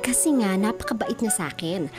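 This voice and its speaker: A woman's voice: medium pitch, expressive, brisk, close to an everyday voice. An actress playing a giddy, hopeful young woman with a crush in a Filipino radio drama, gushing in a girlish way with bits of English slang. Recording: music bed behind the voice.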